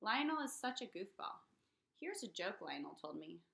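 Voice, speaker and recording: A woman reading a children's picture book aloud in an expressive, dramatic way for young listeners. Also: mid-range voice, normal speed, vocal fry. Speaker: read-aloud storyteller.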